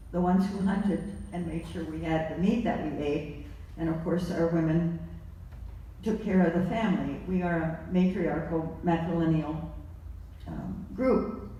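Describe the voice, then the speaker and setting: A panelist speaking quietly and haltingly, telling a family story at a live discussion. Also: medium pitch. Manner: soft-spoken, halting, self-deprecating storytelling. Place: large museum hall. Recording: echoey hall from far off, faint noise.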